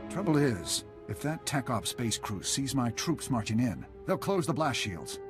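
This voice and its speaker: An actor playing a brash, confident army colonel in a video game, bold and self-assured. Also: male voice, mid-range voice, very animated, a little slow. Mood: cocksure. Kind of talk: video game cutscene acting. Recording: music behind the voice.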